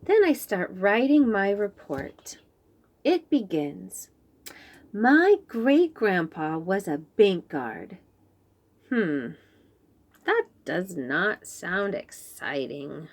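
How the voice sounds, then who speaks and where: A woman reads a picture book aloud to young children. She takes her time over every word and lets her voice rise and fall a great deal. Her manner is clear and patient, as if explaining each new word. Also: medium pitch. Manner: slow, clear and expressive, explaining fancy words.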